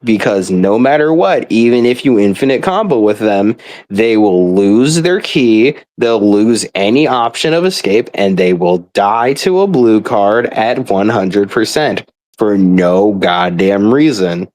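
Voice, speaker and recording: A man's voice: fairly low pitch, lively intonation, measured pace, a little mellow. A young man rambling casually in an exasperated, emphatic way, swearing often as he complains like a gamer venting. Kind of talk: casual rambling rant about a video game.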